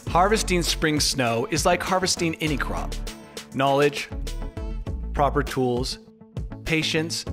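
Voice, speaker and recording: A man reading scripted, mock-documentary narration in a deadpan, tongue-in-cheek formal way. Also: on the high side. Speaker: narrator of a skiing explainer video. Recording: music bed under voice-over. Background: music.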